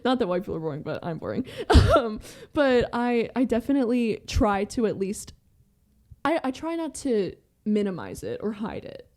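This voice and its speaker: A young American woman, a podcast guest, talking candidly and fast in a rambling way, full of "like" and swearing. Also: on the high side, big pitch swings, darkish tone. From American with Iranian family. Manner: fast rambling talk, heavy use of "like" and swearing. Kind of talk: candid casual conversation.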